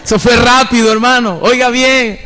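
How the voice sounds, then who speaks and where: A man's voice: very high-pitched, fairly even intonation, moderate pace, sharp tone, big-room sound, slight background noise. A middle-aged man from Venezuela, a pastor preaching with fervor and personal testimony, calling his listeners brother again and again. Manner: fervent, repeatedly addresses listeners as brother.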